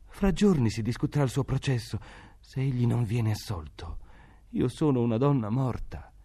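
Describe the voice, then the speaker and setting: A man's voice: medium pitch, animated, normal speed, dark tone, breathy edge, voice pushed up. A man voicing a poor, desperate peasant mother with asthma, pleading anxiously.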